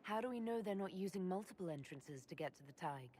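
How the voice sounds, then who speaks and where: An actress playing a serious, composed female hero and leader in a video game, speaking in a measured way. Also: fairly low pitch, expressive, a little mellow, a little hiss or hum, close to an everyday voice. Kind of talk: video game dialogue acting.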